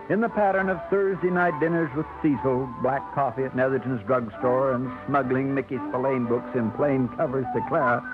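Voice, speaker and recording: Male narrator, measured storyteller, 1950s radio drama, thin tinny broadcast over music. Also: mid-range voice.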